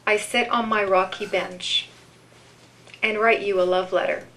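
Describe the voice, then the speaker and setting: Female poetry reader, mid-pitched, unhurried and measured, live event delivery. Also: fairly even intonation, fairly bright tone, a little hiss or hum, low-quality recording. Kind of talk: live poetry reading with informal commentary.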